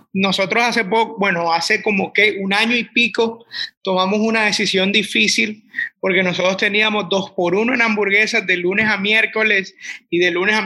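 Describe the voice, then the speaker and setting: Bright-voiced young Colombian man. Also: high voice. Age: 20s. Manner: rambling, many restarts and "o sea". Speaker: restaurant owner. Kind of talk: casual interview answers.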